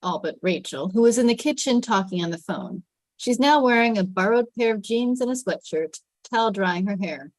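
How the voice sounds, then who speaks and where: A woman's voice: medium pitch, lively intonation, moderate pace, no room sound, low-quality recording. A woman reading scripted stage directions as narration at a table read.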